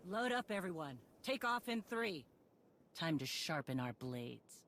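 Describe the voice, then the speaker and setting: A woman's voice: fairly low pitch, sing-song, unhurried, a bit bright, full character voice. An actress playing a stern, determined female warrior leader and former bounty hunter in a game cutscene, speaking in terse commands. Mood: commanding. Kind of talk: scripted game cutscene acting. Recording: clear background noise and ambience.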